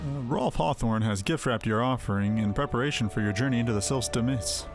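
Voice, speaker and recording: A man narrates a video game playthrough, reading on-screen text aloud. His voice is mid-pitched and game music plays clearly behind it. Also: brisk.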